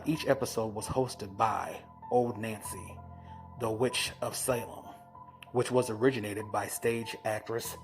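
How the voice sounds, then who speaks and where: A man hosting with a scripted introduction, phrased in a measured, deliberate announcer manner. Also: mid-range voice. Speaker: host. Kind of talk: scripted introduction to old radio shows. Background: music.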